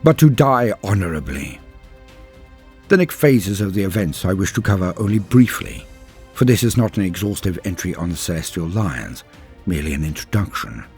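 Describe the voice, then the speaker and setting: A man narrates with a low, husky voice, grave and deliberate like a storyteller recounting dark lore. The delivery is scripted and dramatic, solemn throughout, with music playing underneath. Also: lively intonation. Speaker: narrator and host of a lore channel.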